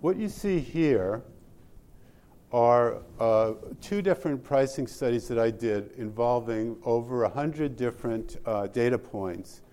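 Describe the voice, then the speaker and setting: Man giving a slide talk, mellow tone, middle pitch, lots of restarts. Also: a little slow. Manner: explains slides, polls the audience, many restarts. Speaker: presenter at an industry session. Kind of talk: presentation with audience questions.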